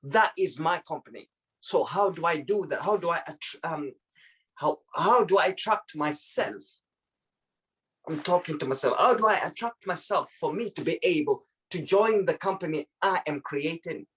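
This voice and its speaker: A man's voice: a little high, lively intonation, moderate pace, fairly bright tone. A man originally from Zimbabwe speaking reflectively in a video-call discussion, often repeating words as he searches for them. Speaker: panel participant.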